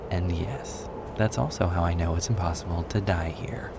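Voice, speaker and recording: A man playing a snarky, weary, well-spoken demon guide in an intimate audio roleplay, with a husky, gravelly voice and a dry, wordy manner. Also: low voice, heavy background noise. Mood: wry, patient. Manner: sarcastic, wordy, dry, deliberate. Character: snarky, weary, erudite male demon guide trapped in hell.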